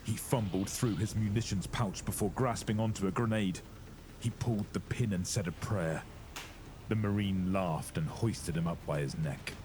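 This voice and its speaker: A man's voice: sits low, background noise. A man narrating a horror audio drama in a grave, ominous, scripted dramatic storytelling style.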